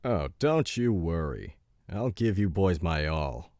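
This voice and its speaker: A man playing a big, gruff but supportive ex-military trainer in an audio drama. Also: sits low, very animated, slow pace, darkish tone, no room sound, studio quality, heavily acted. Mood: steady, encouraging. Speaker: military veteran and coach. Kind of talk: audio fiction dialogue.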